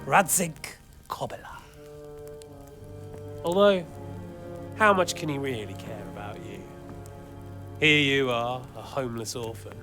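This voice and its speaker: An actor playing a scheming mercenary lord who taunts a captive with silky menace. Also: male voice, on the high side. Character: smug scheming mercenary lord, adult man. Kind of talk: game cutscene acting.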